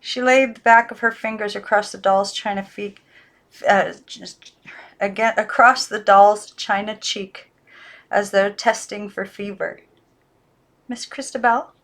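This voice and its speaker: A woman reading a children's novel aloud in calm, even narration, now and then correcting herself. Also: medium pitch, a little slow. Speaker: audiobook reader.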